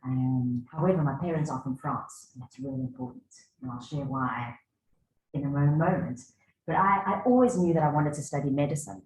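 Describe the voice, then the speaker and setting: A woman's voice: deep voice, fairly quick, darkish tone, slight background noise. A woman in her forties with a South African accent, giving a conversational wellbeing keynote to a conference of doctors and asking the audience questions. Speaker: wellbeing speaker. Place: conference hall. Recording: echoey hall, faint ambience.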